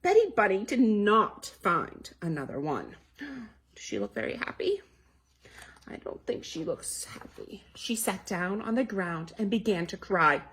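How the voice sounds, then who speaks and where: A woman's voice: mid-range voice, very animated, measured pace, fairly bright tone, husky. A woman reading a children's story aloud as a library storytime host, expressively, with asides and questions to young listeners.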